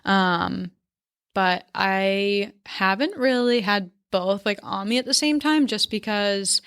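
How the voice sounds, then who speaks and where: A woman's voice: mid-range voice, takes it slowly, fairly bright tone, smooth, dry recording, clean studio sound. A woman in her twenties from Maine, a photographer, talking casually in an interview with many ums and likes. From central Maine, US. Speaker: guest photographer.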